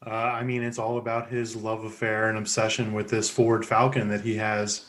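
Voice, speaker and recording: A man co-hosting a car podcast, talking casually in a relaxed, musing way. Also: lowish voice, moderate pace. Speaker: podcast co-host. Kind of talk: casual chat.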